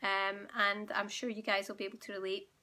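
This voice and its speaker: A woman with a croaky, sore-throated voice, gently explaining spiritual ideas straight to her viewers while talking at home. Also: mid-range voice, completely flat delivery, brisk. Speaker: spiritual awakening guide and channel host. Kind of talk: direct-to-camera teaching monologue.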